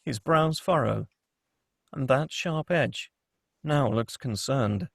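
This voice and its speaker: A man's voice: medium pitch, slow pace, creaky, fairly bright tone, breathy edge, no echo, clean studio sound. A man reading screenplay action and scene directions as scripted narration at a table read.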